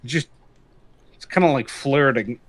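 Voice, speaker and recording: A man voicing an excitable, teasing character named Joel in an improvised roleplay, prone to dramatic outbursts. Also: mid-range voice, a little slow, lightly acted. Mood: joking. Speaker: roleplay player.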